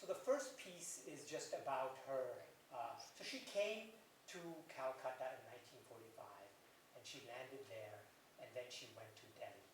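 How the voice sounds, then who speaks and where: A man's voice: medium pitch, normal speed, far from the mic, slight background noise. Man speaking in an echoey hall. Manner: chatty and digressive, with fillers. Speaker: festival speaker presenting an interview. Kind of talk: informal talk to an audience. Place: event hall.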